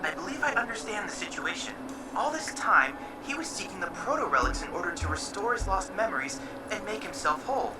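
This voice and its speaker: A woman voicing a composed, analytical scholar in a game, explaining in precise, formal, measured speech. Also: mid-range voice, big pitch swings, far from the mic, over loud music, close to an everyday voice. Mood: thoughtful. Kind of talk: video game cutscene acting.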